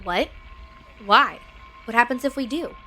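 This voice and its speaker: A headstrong young leader of a sci-fi crew, with a fairly high voice. She is direct and insistent, and music plays clearly behind her. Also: lively intonation, measured pace, bright, forceful tone. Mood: demanding. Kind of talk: audio drama acting.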